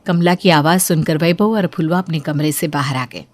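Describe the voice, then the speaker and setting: A woman's voice: deep voice, normal speed, darkish tone, soft background music. A woman reading scripted narration for a cartoon bedtime story as its storyteller.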